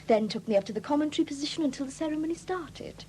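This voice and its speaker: High, swooping, flighty young woman. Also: animated, racing, mellow. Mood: reluctant, chatty. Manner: breezy, evasive, indignant when challenged. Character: flighty, defensive young woman under police questioning. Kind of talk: 1970s British radio drama acting. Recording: hissy old 1970s radio broadcast recording.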